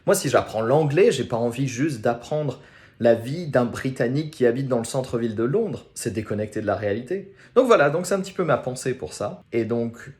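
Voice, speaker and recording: Male host from France, 30s, casual and blunt, thinks out loud, mid-pitched voice. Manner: frank, informal, thinks aloud. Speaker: French teacher and channel host. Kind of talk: casual talk to camera.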